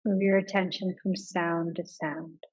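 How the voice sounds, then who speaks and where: A woman leading a guided yoga nidra meditation in a calm, measured manner with pauses between instructions. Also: lowish voice, muffled recording.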